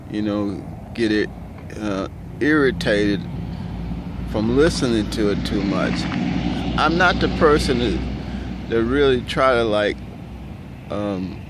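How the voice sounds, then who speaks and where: A middle-aged man with a baritone voice, talking in a casual, rambling monologue full of ums and restarts. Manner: hesitant, many ums and restarts, loose and conversational. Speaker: vlogger. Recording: clear outdoor traffic noise. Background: cars and street noise.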